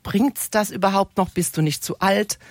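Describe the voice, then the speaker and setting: A woman's voice: medium pitch, moderate pace, no room sound. A woman in her sixties giving an articulate, reflective personal account as a guest in a radio interview. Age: 60s. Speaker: interview guest, retirement coach.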